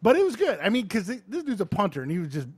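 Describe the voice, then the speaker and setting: An American man with a high voice that swings up and down as he talks. He is an excitable podcast co-host, casual and profane, retelling sports action with open enthusiasm. The sound is close and dry. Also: very fast, slightly rough, dry recording.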